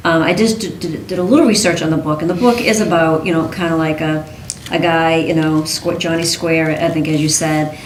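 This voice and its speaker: A woman's voice: sits low, fairly even intonation, brisk. A woman hesitantly explaining her adaptation in a casual writing group, often restarting her words. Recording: roomy room with faint noise.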